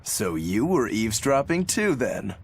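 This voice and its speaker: A man's voice: mid-range voice, very animated, measured pace, a little hiss or hum. A man voicing a knowing, teasing adult trickster in an English anime game dub, with a theatrical delivery.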